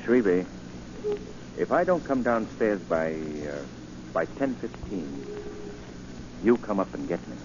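An actor playing a composed, guarded, wealthy gentleman sleuth in 1940s radio acting style. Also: deep voice, big pitch swings, slow pace, long pauses, exaggerated voice. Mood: firm. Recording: noisy, muffled 1940 radio recording.

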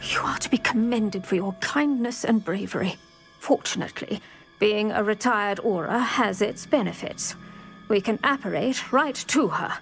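Stern older witch in a game, formal, protective, faint music behind. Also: fairly high pitch. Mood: earnest, reassuring. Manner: crisp, formal, measured. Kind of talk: video game character acting.

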